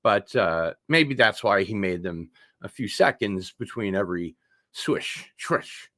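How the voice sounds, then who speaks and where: A man's voice: on the low side, expressive, unhurried, bright, forceful tone, no room sound, clean studio sound. A man hosting a casual unboxing livestream, rambling along with wry asides. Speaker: host of an online show.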